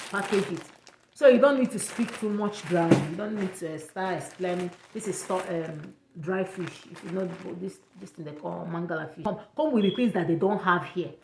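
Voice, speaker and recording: A woman's voice: mid-range voice, roomy sound, background noise. A young woman from Nigeria with an Igbo accent, giving chatty, repetitive travel advice in a casual vlog. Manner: chatty, repeats points, pidgin touches.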